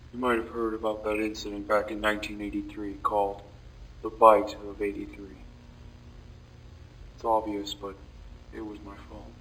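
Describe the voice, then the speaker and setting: Casting: male actor as brooding, remorseful young man for horror audio drama; low, flat, halting delivery, captured off-mic with faint noise. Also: recorded from a distance, natural voice, low-quality recording. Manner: somber, halting, confessional with heavy pauses. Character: guilt-ridden, brooding young man confessing dark memories. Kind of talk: scripted audio-log monologue, horror fan drama.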